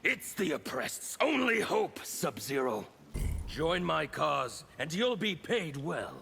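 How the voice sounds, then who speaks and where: Gravelly, fanatical rebel warrior, sharp and theatrical. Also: male voice, a little high, sing-song, takes it slowly, slight background noise, full character voice. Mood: fervent, defiant. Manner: theatrical, menacing, declamatory. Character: fanatical scarred revolutionary warrior, seething, defiant, zealous. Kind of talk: video game character dialogue.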